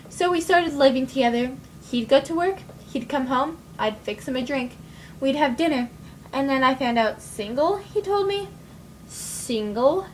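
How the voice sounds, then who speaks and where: A woman's voice: sits high, slight background noise. A woman playing a sardonic wife who poisoned her lying partner, speaking wryly.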